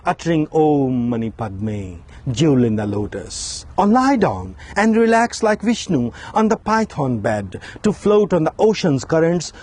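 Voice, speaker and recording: A man's voice: fairly high pitch, darkish tone, breathy edge. A man, a poet presenting his own work live in a dramatic, declamatory way. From Nepal. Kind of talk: live poetry reading with spoken introduction.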